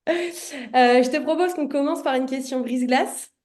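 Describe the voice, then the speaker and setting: Upbeat higher-voiced woman host. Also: measured pace, darkish tone. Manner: friendly, enthusiastic interjections. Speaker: podcast host. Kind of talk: casual podcast interview.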